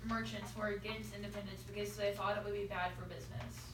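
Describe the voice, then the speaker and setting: A woman's voice: medium pitch, level tone, fast. A young teenage girl reading scripted narration aloud for a school play, recorded in a classroom. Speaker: student narrator. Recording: echoey classroom, far from mic, noisy.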